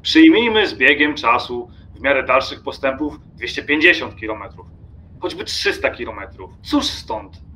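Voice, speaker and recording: An actor playing an irritable, contemptuous train passenger in an audio drama, lecturing obsessively and emphatically. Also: male voice, medium pitch, big pitch swings, moderate pace, bright, forceful tone, slight background noise. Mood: scornful, challenging.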